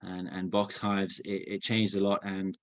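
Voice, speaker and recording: A young man from England giving an informal talk over a video call, relaxed, with many fillers and restarts. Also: low voice, a little slow, no room sound. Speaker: guest speaker. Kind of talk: informal online presentation.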